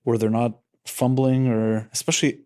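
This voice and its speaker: A man's voice: medium pitch, little pitch movement, normal speed, mellow. A man co-hosting a podcast, rambling as he rants casually about apps. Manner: ranting, rambling. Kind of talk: casual chat.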